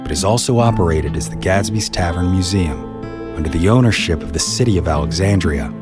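A man with a very low voice narrates as a podcast host. His delivery is scripted storytelling, and music with plucked guitar plays behind him. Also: expressive, a little fast.

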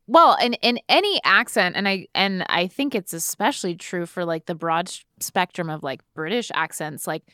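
A woman's voice: lowish voice, lively intonation, moderate pace, hardly any pauses, pushed and bright, smooth, dry recording, studio quality. A woman co-hosting a casual podcast chat, phrasing her thoughts tentatively with lots of "like". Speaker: podcast co-host.

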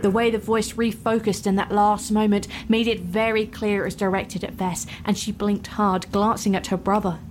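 A woman narrating a fantasy story in a measured, wry storytelling manner. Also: medium pitch, flat delivery, slight background noise. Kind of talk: dramatic fan fiction narration. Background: music.

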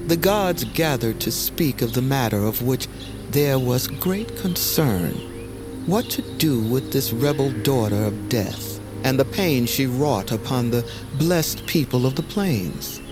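A man narrating a dark fantasy legend as a dramatic, solemn storyteller with poetic phrasing. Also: medium pitch. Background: music.

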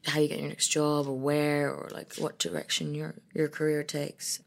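Low creaky-voiced actress. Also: female voice, completely flat delivery, normal speed. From Ethiopia and Ireland. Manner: thoughtful, hesitant, with frequent restarts. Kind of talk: conversational interview.

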